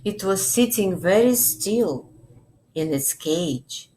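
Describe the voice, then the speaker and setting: A middle-aged woman reading a picture book aloud for young children, gently and expressively, pausing to ask questions. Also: mid-range voice, animated, slight background noise, rough recording. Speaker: children's librarian. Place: small indoor room.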